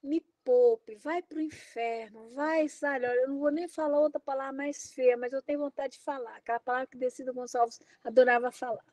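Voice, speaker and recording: High-voiced indignant Brazilian woman. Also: fairly even intonation, a little fast, hardly any pauses, a little mellow, dry recording. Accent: Brazilian Portuguese. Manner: indignant, emphatic, exclaiming. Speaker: invited lecturer. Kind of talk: impassioned academic talk.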